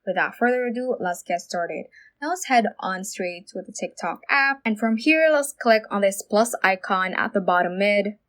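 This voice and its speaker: A woman's voice: medium pitch, expressive, normal speed, a bit bright. A woman presenting a step-by-step phone app tutorial as a voice-over. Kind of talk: how-to screen tutorial narration.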